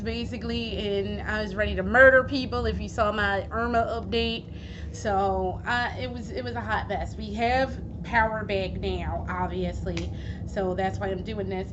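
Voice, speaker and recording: A woman vlogging at home, hoarse from losing her voice, rambling casually with plenty of swearing and fillers. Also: on the high side. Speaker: gaming vlogger. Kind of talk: casual chat. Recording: dull lo-fi recording with steady background noise.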